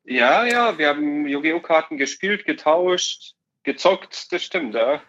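A man's voice: a little high, expressive, unhurried, a bit bright. A young man chatting casually as a guest, speaking in an emphatic, slangy way. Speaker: interview guest on a call. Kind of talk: casual chat. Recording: dull, lo-fi call audio.

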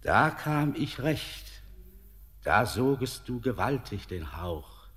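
Old eerie tempter, slow and sardonic, lowish voice, long reverb, faint music. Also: male voice, big pitch swings, fairly bright tone. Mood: mocking. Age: older. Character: ancient eerie male miner, mocking tempter. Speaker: old mountain spirit-miner Torbern. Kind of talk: classic radio play acting.

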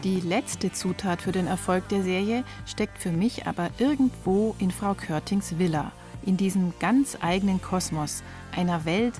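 Female feature narrator, lowish voice, scripted first-person delivery, faint music bed. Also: darkish tone.

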